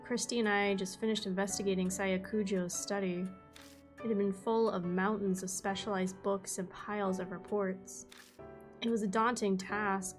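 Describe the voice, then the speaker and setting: A woman voicing a young male investigator who narrates his own story, reading in a steady, solemn way with a lowered voice. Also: close to an everyday voice.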